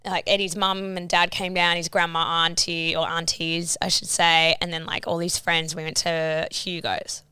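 Young Australian woman, bright voice, smooth tone, chatty and giggly, dry close sound. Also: lowish voice, flat delivery, normal speed, hardly any pauses, no room sound. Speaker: podcast co-host. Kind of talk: casual chat.

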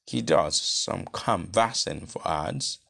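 A man with a Nigerian accent voicing a mild, matter-of-fact gentleman sharing gossip in an audiobook, understated in manner. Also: low-pitched, lively intonation, slow pace, vocal fry, full character voice.

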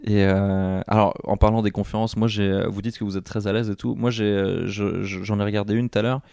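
A man's voice: on the low side, little pitch movement, a little fast, gravelly, darkish tone, clear, resonant tone, no room sound, clean studio sound. A man interviewing a guest, asking curious, polite questions with frequent hesitations. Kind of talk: casual interview chat.

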